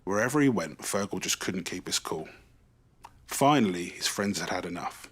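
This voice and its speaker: A man reading a children's bedtime story in an expressive, animated way, stretching key words for emphasis. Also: low-pitched, normal speed, a little hiss or hum.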